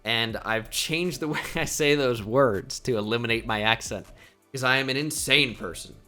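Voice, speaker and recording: A young man with a slight New Jersey accent gives chatty video game commentary. His tone is bright and forceful. He muses aloud and drops self-deprecating asides, with faint music playing behind him. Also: medium pitch, normal speed. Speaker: gaming YouTuber.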